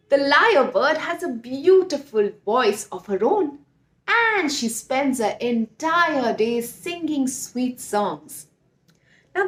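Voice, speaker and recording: A woman telling a story to young children, expressive and speaking directly to her listeners. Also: high-pitched. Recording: roomy echo.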